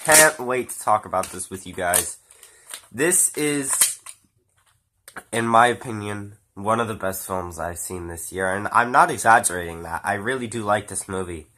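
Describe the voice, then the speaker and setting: A man's voice: on the low side, normal speed, sharp tone. A man with a stuffy nose from a cold, giving a rambling, casual spoken film review with fillers and the odd swear. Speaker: movie reviewer.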